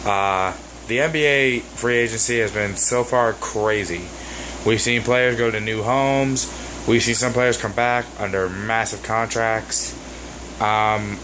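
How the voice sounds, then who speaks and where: Hoarse man ranting on a vlog. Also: on the low side, noisy background. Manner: rambling, exasperated, with many fillers. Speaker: sports vlogger.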